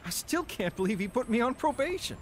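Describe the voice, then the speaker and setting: An actor in an audio drama playing an earnest, emotional, wavering ex-convict who has newly found religion. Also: male voice, extremely high pitch, big pitch swings, a little fast. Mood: excited, insistent. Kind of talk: audio drama acting and first-person narration.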